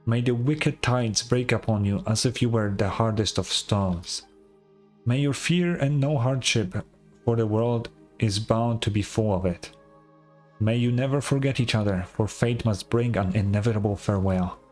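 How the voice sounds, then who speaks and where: A man's voice: on the low side, a little mellow, soft background music, lightly acted. Give me a man acting a solemn video game chieftain who speaks formally, as if blessing someone. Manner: measured, formal, ceremonial.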